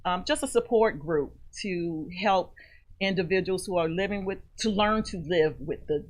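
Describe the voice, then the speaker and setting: A woman's voice: on the low side, moderate pace. A woman guest on a radio interview, telling her personal story in an earnest, heartfelt way. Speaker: guest, author and foundation founder.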